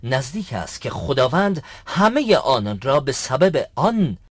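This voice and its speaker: Formal, husky-voiced cartoon sheikh. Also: male voice, medium pitch, big pitch swings. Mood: solemn teaching. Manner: formal, measured, instructive. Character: patient, learned male mosque sheikh instructing boys. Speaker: sheikh teaching boys. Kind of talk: dubbed educational cartoon acting.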